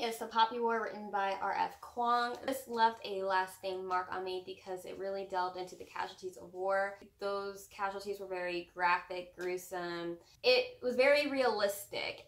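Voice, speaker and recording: A woman's voice: medium pitch, a bit bright. Young woman in an echoey room. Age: young adult. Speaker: book vlogger co-host. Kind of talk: casual chat about books, reading out tag prompts. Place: small room at home with bookshelves. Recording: roomy echo from camera distance.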